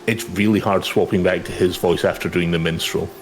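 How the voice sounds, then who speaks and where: Low-voiced man bantering over a game. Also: fairly even intonation, a little fast. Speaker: game streamer's co-player. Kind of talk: casual gaming banter.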